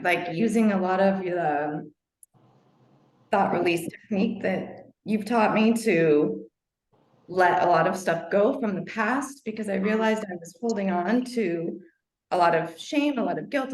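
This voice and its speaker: A woman's voice: mid-range voice, unhurried, darkish tone, slight background noise. A woman taking part in a group session, speaking reflectively with many "like" fillers. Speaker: session participant. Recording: echoey room.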